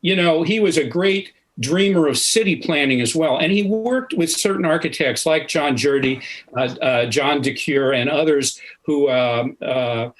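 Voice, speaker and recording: A man's voice: a little high, unhurried, rough recording. Older man with a raised voice. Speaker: academic panelist. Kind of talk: explanatory panel discussion. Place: indoor home study, video call. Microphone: video call.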